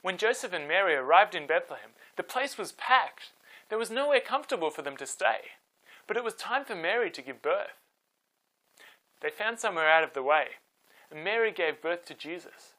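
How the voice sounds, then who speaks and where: Bright, animated male storyteller. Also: high-pitched, poor audio. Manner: expressive, dramatic delivery. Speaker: storyteller and host. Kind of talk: scripted children's Bible storytelling.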